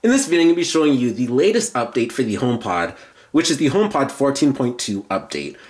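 A man's voice: mid-range voice, sing-song, fast, darkish tone. A man giving an informal, explanatory product demo as a tech reviewer.

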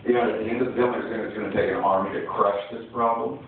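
Deep voice guy moderating, casual chatty, tinny and far off in a big hall. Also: steady tone, fast talker, a little hiss or hum. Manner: casual, conversational. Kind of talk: moderating a panel.

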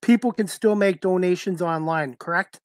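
A middle-aged man with a Canadian accent, hosting a casual interview in a halting way over a headset. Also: high voice, no room sound, studio quality. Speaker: talk show host, interviewer. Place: indoors, on a video call.

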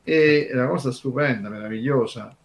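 Male, mid pitch. Informal radio expert, discursive and halting, with emphatic key words. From Terni, Italy.

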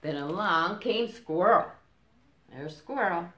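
A middle-aged woman storyteller reads a picture book to young children. She speaks slowly, fairly low and brightly, pausing to explain and ask questions. The room sounds echoey, as if recorded from a few steps away. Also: animated. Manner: simple explanations with checking questions. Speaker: library story time reader. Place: indoors in a curtained room. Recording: roomy, echoing small-room sound.

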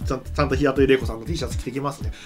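A man's voice: medium pitch, rapid-fire. A middle-aged man hosting a talk show, chatting casually in a polite but jokey manner. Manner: animated, polite but jokey, frequently chuckling. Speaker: show host. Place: small studio set. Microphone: desk microphone on a stand. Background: background music.

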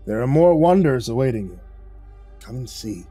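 A man voicing a calm, formal creature keeper who speaks in measured, unhurried sentences, in a storybook narration. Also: medium pitch, slightly rough, dry recording, faint music behind. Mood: stern, dry.

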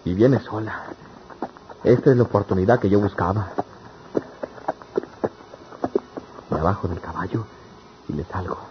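A man's voice: on the low side, fairly quick, natural voice. An actor playing a poor, lovelorn and anguished young country man in a radionovela, speaking plaintively in rustic speech. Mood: brooding. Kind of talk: radionovela acting. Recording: dull, noisy old radio drama recording.

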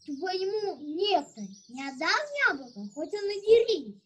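A woman's voice: very high voice, animated, unhurried. A child playing a cheeky, defiant wooden puppet boy in an audio play. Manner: defiant, argumentative.

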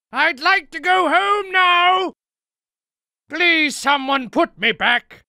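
A man's voice: extremely high pitch, gravelly, sharp tone, dry recording, studio quality, heavily acted. A man voicing a fussy, indignant middle-aged civilian for improvised video game lines, prim, whiny and pleading. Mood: resigned, flustered.

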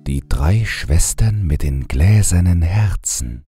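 A man narrating a bedtime fairy tale as an audiobook reader, in a gentle, soothing, measured manner. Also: sits low, lively intonation, vocal fry, music in the background.

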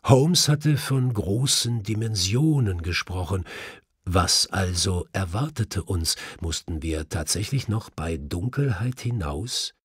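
Audiobook narrator, male: slow, measured literary reading, husky low register, very dry sound. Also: no room sound. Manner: measured, first-person storytelling.

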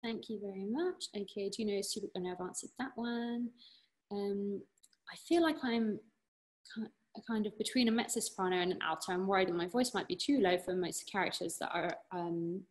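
A woman's voice: mid-range voice, fairly quick. A young British woman, an opera singer, answering questions in a casual online Q&A from her living room, encouraging and full of fillers. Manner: chatty, encouraging, many fillers and restarts. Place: living room at home, indoors. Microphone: laptop webcam on a video call.

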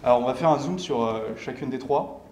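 A man's voice: medium pitch, darkish tone. Young man presenting in an echoey room. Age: young adult. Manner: halting, explanatory, asks himself questions. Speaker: tech meetup speaker presenting his company's software. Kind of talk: technical conference presentation. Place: indoor lecture room, at a lectern. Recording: echoey room with clear background noise.

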